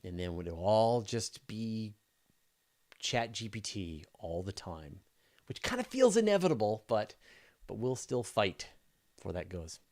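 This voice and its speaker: A man's voice: on the low side, big pitch swings, measured pace, a little mellow. A man hosting a casual live question and answer session, talking informally and thinking aloud in broken phrases. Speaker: host of a space news channel.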